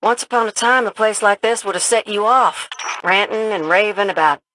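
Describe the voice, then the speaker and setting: An actress playing a good-humored, earnest pilot comrade in a video game, talking in an informal, chatty, folksy way. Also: female voice, medium pitch, normal speed, pushed and bright, slight background noise, close to an everyday voice, poor audio. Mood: curious, friendly. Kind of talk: scripted video game mission dialogue.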